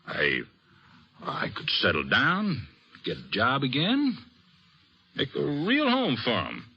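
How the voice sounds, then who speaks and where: An actor playing a restless American gambler father in an old-time radio western, blustery and regretful, with a halting, folksy delivery. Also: mid-range voice, wildly swooping pitch, lo-fi sound, slight background noise, exaggerated voice. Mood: indignant, resolved.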